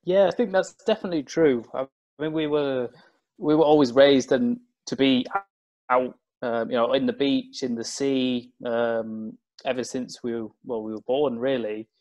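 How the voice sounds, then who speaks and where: A man's voice: mid-range voice, fairly even intonation, moderate pace, darkish tone, no room sound, dull audio. A young man from Cumbria in England, chatting as a guest in an interview, hesitant and full of fillers and restarts. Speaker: guest, open-water swimmer. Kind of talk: casual interview chat.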